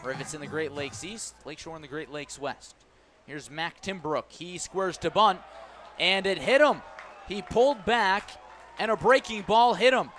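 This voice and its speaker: Higher-voiced man calling baseball on radio. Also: fairly bright tone, faint music behind. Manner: measured, detailed calls that swell on key plays. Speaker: play-by-play radio announcer. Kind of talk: live baseball commentary. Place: ballpark broadcast position.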